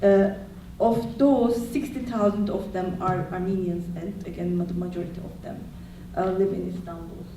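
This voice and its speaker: A woman's voice: lowish voice, fairly even intonation, mellow, a little hiss or hum. Woman lecturing from far in an echoey hall. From Turkey. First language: Turkish. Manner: measured, explanatory, frequent uh fillers. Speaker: panelist, historian. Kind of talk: academic panel talk. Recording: echoey hall, distant mic.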